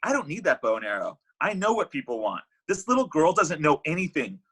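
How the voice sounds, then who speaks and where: Man acting high-pitched, indignant, bitter Cupid. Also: very animated, a little fast, fairly bright tone, breathy edge, heavily acted. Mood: bitter, outraged. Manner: exasperated, indignant, stammering. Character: Cupid, bitter, defensive, heartbroken male matchmaker god. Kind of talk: comedy script table read.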